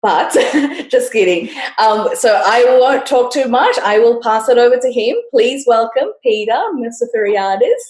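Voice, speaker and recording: A woman's voice: fairly high pitch, unhurried, hardly any pauses, darkish tone. Friendly woman host in echoey room. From Australia. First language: Sinhalese. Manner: friendly, laughs easily, playful teasing. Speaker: podcast host. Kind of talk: casual interview.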